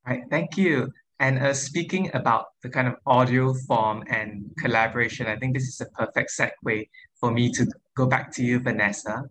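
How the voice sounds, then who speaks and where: A man's voice: medium pitch, normal speed, a bit bright, low-quality recording. A man moderating a panel, posing structured questions politely with some hesitant fillers.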